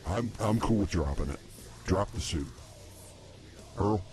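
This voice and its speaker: Male actor as easygoing, hesitant comedy co-host, low-pitched and plain-spoken, music playing behind him. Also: animated, measured pace, a little mellow. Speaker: podcast co-host. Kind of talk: improvised comedy banter.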